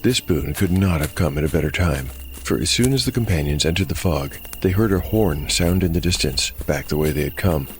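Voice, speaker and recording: Deep-voiced man narrating dark fantasy. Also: lively intonation, fairly quick. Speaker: game master and narrator. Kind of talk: scripted dark fantasy narration with solo game commentary.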